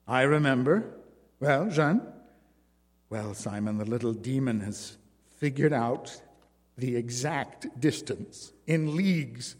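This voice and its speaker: Guy playing a worn-out pleading circus lady, higher and louder than his narration, teary, reverby sound. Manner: pleading, tearful. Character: exhausted desperate former circus woman. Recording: long reverb.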